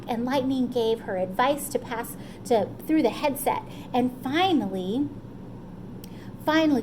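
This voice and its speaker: A woman telling a story to children in an animated, encouraging way, often checking in with her listeners. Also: high-pitched, noisy background. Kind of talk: animated children's storytime retelling, loosely paraphrased.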